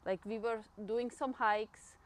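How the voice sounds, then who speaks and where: A woman's voice: fairly high pitch, steady tone, slow. A woman from Switzerland, a native Swiss German speaker, speaking English with a Swiss German accent as an interview guest, chatty and laughing often. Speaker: guest runner. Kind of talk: casual interview talk.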